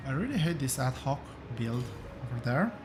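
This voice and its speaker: A man with a rough voice, thinking aloud as he hosts a relaxed gameplay commentary, with many fillers and pauses. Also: medium pitch, music in the background. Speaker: gaming channel host.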